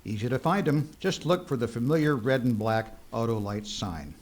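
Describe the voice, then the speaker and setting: A man acting a friendly pitchman in a vintage radio commercial. His middle-range voice is folksy and conversational as he sells. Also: lively intonation, normal speed, lightly acted. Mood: folksy, upbeat. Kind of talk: scripted old-time radio advertisement.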